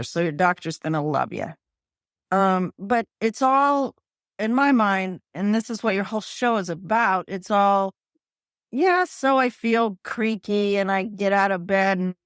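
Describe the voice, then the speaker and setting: Lively storytelling woman in her fifties. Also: medium pitch, clean tone, no room sound, clean studio sound. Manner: animated storytelling, laughs often, acts out her own quotes. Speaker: pickleball coach and author. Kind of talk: podcast interview guest.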